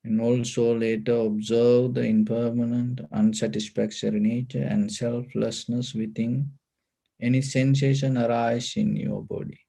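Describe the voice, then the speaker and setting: Calm sri guy teaching meditation, creaky voice, kinda mellow and soft-toned. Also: mid-range voice. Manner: calm, deliberate, instructing in short phrases. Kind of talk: guided meditation.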